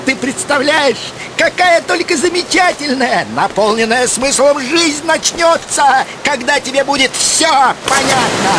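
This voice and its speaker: A man voicing an earnest, philosophizing animal dreamer in a children's cartoon, with lofty, pleading, drawn-out phrasing. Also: very high-pitched, noisy background.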